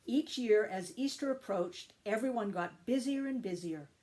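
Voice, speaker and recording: A woman reading a children's story aloud with expressive, deliberate emphasis. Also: on the high side. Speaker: storytime reader, teacher.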